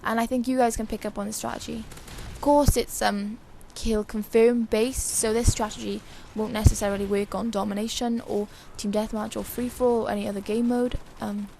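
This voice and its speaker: A woman gives loose, chatty game commentary in a rather high voice that sounds dry and hoarse. There is plenty of fry in it, and a faint noise sits behind her. She rambles along informally, as if talking straight to her viewers. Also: little pitch movement, a little fast, few pauses, warm tone. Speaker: gaming video creator. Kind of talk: casual gameplay commentary.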